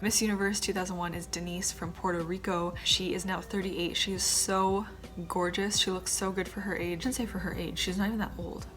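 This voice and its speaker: A woman in her twenties chatting casually to camera as a vlogger, with quiet muttered asides and a slightly stuffed-up nose. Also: on the low side, flat delivery, brisk, soft background music. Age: 20s. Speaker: vlogger, former pageant contestant.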